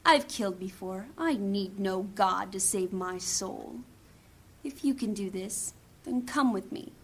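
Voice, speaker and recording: A woman's voice: mid-range voice, a little slow, fairly bright tone, breathy edge. An actress playing a hard, commanding woman thief in a fantasy audio drama, sardonic and menacing. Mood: grim, goading.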